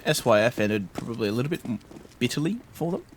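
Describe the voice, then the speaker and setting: A man casting an esports match live, steady play-by-play with frequent fillers. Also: medium pitch, fast talker, background noise. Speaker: esports caster.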